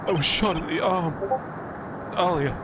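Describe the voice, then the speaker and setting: A man voicing an anguished, betrayed convict who speaks halting, clipped broken English in a live game dub. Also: on the high side, noisy background, lightly acted. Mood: anguished, wounded. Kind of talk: live fan dub of a visual novel game. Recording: music under the voice.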